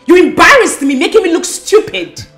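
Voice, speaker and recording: Indignant high-voiced girlfriend. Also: big pitch swings, normal speed, fairly bright tone, faint music behind, heavily acted. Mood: indignant, demanding. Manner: demanding, defiant. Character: indignant, entitled young girlfriend. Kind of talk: screen drama acting.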